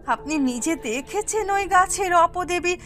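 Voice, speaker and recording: A man's voice: very high-pitched, lively intonation, unhurried, sharp tone, faint music behind, full character voice. A man voicing a humble, anxious village householder, exclaiming and deferential, in an audio story. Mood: awestruck.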